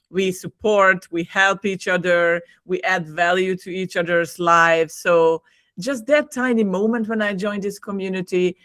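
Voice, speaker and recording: A woman's voice: fairly low pitch, fairly bright tone, dry recording. Woman, Hungarian accent, native Hungarian speaker, podcast guest, enthusiastic, laughs often, dry close sound. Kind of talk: casual conversation.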